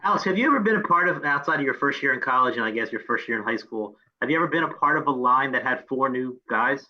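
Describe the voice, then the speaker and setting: A man's voice: medium pitch, expressive, fast. A man working as a reporter, asking questions over a lo-fi video press call. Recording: dull lo-fi video call audio.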